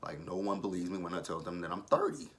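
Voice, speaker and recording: A man's voice: low voice, expressive, quick pace. A man of thirty giving a casual, blunt and opinionated review of a TV episode, swearing freely. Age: 30s. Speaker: TV show reviewer. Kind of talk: casual review vlog commentary.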